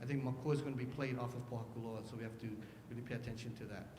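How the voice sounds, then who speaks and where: Earnest man speaking in an echoey hall. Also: fairly even intonation. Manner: earnest, halting with fillers. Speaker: panelist. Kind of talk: panel talk. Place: conference hall. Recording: echoey hall with faint rumble.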